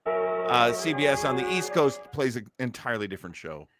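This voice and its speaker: A man's voice: mid-range voice, animated, brisk, loud music behind the voice. A man hosting a show in casual, chatty commentary. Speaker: host of an old-time radio show podcast.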